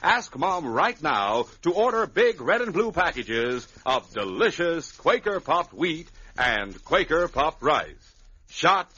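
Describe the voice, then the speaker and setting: A man's voice: fairly high pitch, very animated, slow, bright, forceful tone. A man announcing and narrating an old-time radio show with a dramatic, emphatic delivery. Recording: muffled vintage radio recording.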